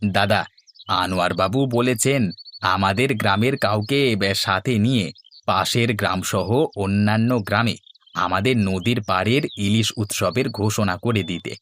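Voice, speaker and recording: A man's voice: lowish voice, measured pace, a bit bright, a little hiss or hum. A man voicing an earnest, modest and respectful village man in a cartoon. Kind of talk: Bengali cartoon dubbing.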